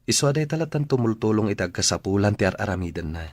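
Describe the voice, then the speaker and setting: An actor with an Ilocano accent playing an earnest, grateful young son in a radio drama. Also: male voice, lowish voice, expressive, quick pace, dry recording. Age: young adult.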